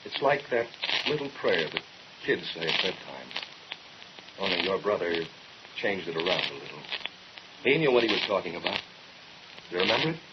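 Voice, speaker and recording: A man's voice: deep voice, sing-song, moderate pace, long pauses. A man playing an earnest young military man in an old radio drama, solemn, challenging and pointed. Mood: grave, haunting. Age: young adult. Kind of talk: mid-century radio drama acting. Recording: dull, noisy old radio recording.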